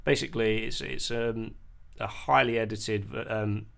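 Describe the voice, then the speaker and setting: Low-voiced man giving a hesitant tutorial. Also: fairly even intonation, creaky, fairly bright tone. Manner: hesitant, explanatory, pausing mid-sentence. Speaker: music producer giving a tutorial. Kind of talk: unscripted screen-recorded software tutorial. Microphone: voice-over on a screen recording.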